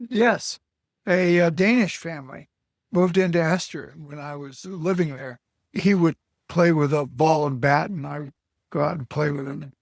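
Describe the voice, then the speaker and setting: An older man from Alaska answering interview questions slowly, pausing often with fillers and short of breath. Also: on the high side, no echo, clean studio sound. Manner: halting, with many pauses and fillers. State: short of breath, on supplemental oxygen. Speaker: guest. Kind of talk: slow reflective interview answers.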